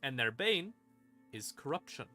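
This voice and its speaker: A man's voice: mid-range voice, big pitch swings, measured pace, pushed and bright. A man streaming a casual explanation of game lore, informal, with dry asides and dramatic emphasis. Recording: music behind the voice. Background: creepy ambient music.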